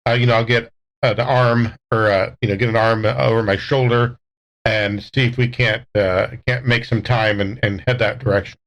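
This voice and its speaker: A man's voice: fairly low pitch, fairly even intonation, normal speed, fairly bright tone, dull audio. A man playing a tabletop game, casually talking through what his character will do. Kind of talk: casual roleplay chat.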